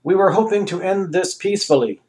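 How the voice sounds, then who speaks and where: A man voicing a nervous Secretary of State who asks halting, pleading questions in a comic story. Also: high voice, darkish tone, pitched above the performer's own voice, close to an everyday voice. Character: nervous male Secretary of State, middle-aged.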